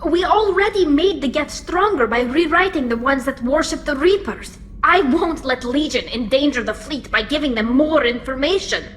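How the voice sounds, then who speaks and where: A bright, earnest young engineer. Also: female voice, sits high, animated, moderate pace, hardly any pauses, a little hiss or hum. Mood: alarmed. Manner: earnest pleading speech. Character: young alien engineer woman, loyal and emotional. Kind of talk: video game voice acting.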